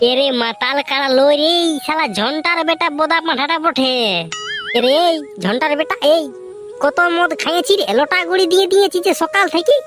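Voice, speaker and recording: A man voicing an irritable, foul-mouthed young village man in a comic cartoon, with a Purulia Bengali accent and a pitched-up voice. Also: a little fast. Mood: irritated. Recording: faint background music.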